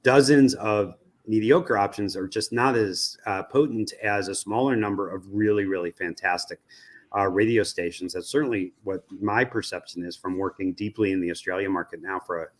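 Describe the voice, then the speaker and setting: Low-pitched American man, analytical interview guest. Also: moderate pace. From United States. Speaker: interview guest, radio research company president.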